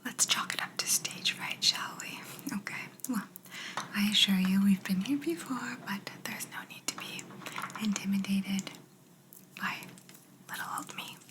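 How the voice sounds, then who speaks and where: Casting: woman as female alien abductor for sci-fi ASMR, breathy whisper, very unhurried, calm, clinical, slightly teasing. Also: medium pitch, close to an everyday voice. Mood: gently teasing. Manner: slow, soothing, politely clinical with playful condescension. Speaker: ASMR roleplay performer. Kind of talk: whispered ASMR personal-attention roleplay.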